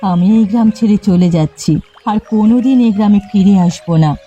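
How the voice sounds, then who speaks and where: A mellow, dark-toned eldest sister from a village cartoon voiced by a woman. She is upright and stern, speaking like a weary elder who admonishes her siblings. Light music plays underneath. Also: mid-range voice, fast, husky, muffled recording, lightly acted. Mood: disillusioned, resigned. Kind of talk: cartoon dialogue. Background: faint music.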